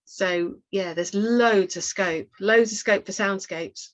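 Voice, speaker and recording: A woman's voice: medium pitch, takes it slowly. A woman on a webinar panel giving advice in a conversational way over a video call. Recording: slightly muffled video call audio.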